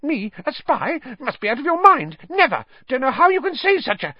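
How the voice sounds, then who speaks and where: A man reading an audiobook story, voicing a cowardly, cornered male traitor in a shrill, squealing, panicky, pleading voice. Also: animated, normal speed, no room sound, lo-fi sound. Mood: indignant panic. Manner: panicky, pleading, stammering protests.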